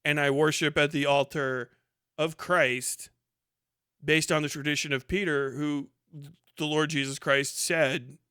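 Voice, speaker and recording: A man's voice: on the high side, steady tone, measured pace, pushed and bright, clear, resonant tone, no room sound, clean studio sound. A man delivering a casual, ranting livestream monologue in a colloquial, rambling, sweary way. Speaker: livestream host.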